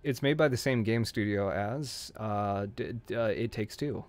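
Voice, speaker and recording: A man with a hoarse voice, casually commenting on his gameplay and joking at the enemies on screen. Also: lowish voice, a little slow, no room sound. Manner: jokey, talks to enemies on screen. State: hoarse from screaming. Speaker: game streamer.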